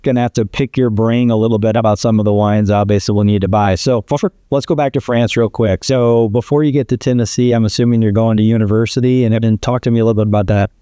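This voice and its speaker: Man, podcast host, upbeat, conversational, low voice, dry close sound. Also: fairly even intonation, fast talker, few pauses, dark tone, no echo. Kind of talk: interview conversation.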